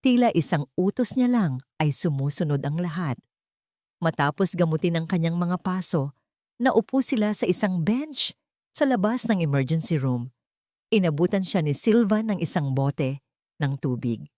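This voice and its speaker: A woman's voice: on the low side, animated, normal speed, mellow, dry recording, studio quality. A woman with a Filipino accent reading a scripted story as a dramatic narrator.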